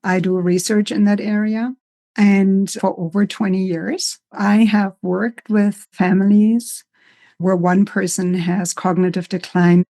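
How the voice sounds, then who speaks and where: German-accented woman psychologist, measured. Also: medium pitch, level tone, mellow. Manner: thoughtful, measured, laughs easily. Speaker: psychologist, associate professor. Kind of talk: conversational interview.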